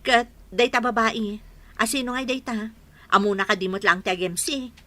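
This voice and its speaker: A woman's voice: on the high side, moderate pace, sharp tone. A woman acting in an Ilocano radio drama as a chatty, boastful friend, exclaiming expressively with an Ilocano Filipino accent. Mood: flustered, put out.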